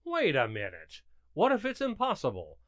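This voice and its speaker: A man's voice: a little high, wildly swooping pitch, normal speed, no echo, studio quality. A man playing an indignant, blustering husband in an amateur radio sitcom, speaking emphatically.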